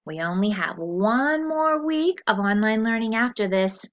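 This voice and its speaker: A woman teacher reading a lesson aloud to children, slow and clear, pausing so they can answer. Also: on the high side, expressive, dull audio.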